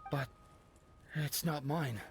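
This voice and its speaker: An actor playing a weary dying man haunted by regret, breathy and faltering, in a dramatic video game. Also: a little high, slow, soft background music. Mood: grieving, remorseful.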